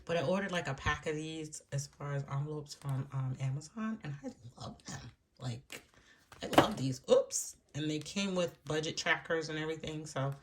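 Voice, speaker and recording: A woman's voice: sits low, slight background noise. A woman with a stuffy, froggy voice from allergies, chatting casually and rambling a bit as she walks through her budget for viewers at home. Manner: rambling and chatty, with soft laughs and hushed asides. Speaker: budgeting vlogger. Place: room at home.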